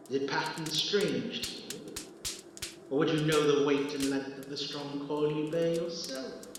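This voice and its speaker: A man playing a tormented, mournful ghost of a dead businessman in an audio drama, declaiming gravely and theatrically through ghostly reverb. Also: mid-range voice. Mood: weary torment. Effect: heavy ghostly reverb. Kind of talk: audio drama acting of Victorian literary dialogue. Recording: cavernous long reverb, distant, loud noise.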